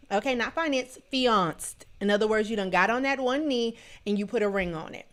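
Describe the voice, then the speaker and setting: A woman in her thirties hosting a solo livestream, talking in a sassy, emphatic, playfully scolding way with a booming voice. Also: a bit bright. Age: 30s. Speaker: livestream show host.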